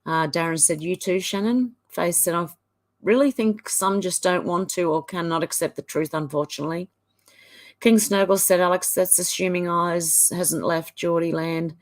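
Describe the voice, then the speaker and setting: A woman with a somewhat low voice hosts a relaxed live stream. She reads viewer comments aloud one after another in a chatty, easygoing way, with the odd "uh" between them. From Australia. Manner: chatty, reads comments in a flat run with small fillers. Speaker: livestream host.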